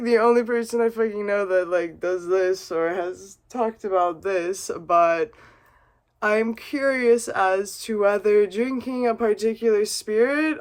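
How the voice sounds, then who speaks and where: A woman vlogging a rambling, earnest monologue after a drink, swearing and laughing often. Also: mid-range voice. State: has been drinking vodka. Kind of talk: casual reflective monologue.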